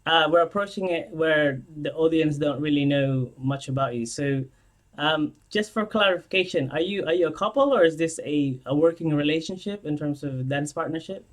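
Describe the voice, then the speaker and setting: A man hosting an interview in a conversational style, asking questions in a halting way. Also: on the high side. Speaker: interview host.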